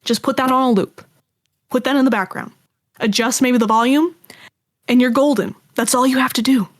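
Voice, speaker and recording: Woman, high voice, casual interview chat, rambling, talkative creator. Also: moderate pace. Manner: chatty, animated rambling with frequent "like". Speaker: guest, audio drama creator.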